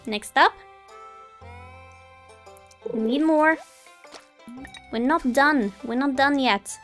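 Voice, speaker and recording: Female let's play host, high voice, mild and chatty, game music underneath. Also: drawn-out words, fairly bright tone. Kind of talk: casual gameplay commentary, reading game dialogue aloud.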